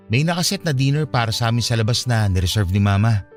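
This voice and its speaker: A man's voice: mid-range voice, animated, fast talker, natural voice. A man acting an earnest young man who confides a painful family past in a conversational radio drama style. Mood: confiding, troubled. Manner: conversational, confessional. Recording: music behind the voice.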